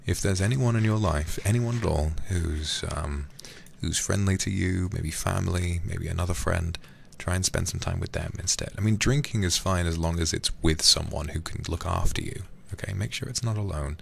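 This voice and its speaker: Man, very low pitch, heavy vocal fry, soothing ASMR game streamer, affectionate casual chat, close mic. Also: normal speed, hardly any pauses, a little hiss or hum. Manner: soothing, affectionate, drops into whispers. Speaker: livestreamer. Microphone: close streaming microphone.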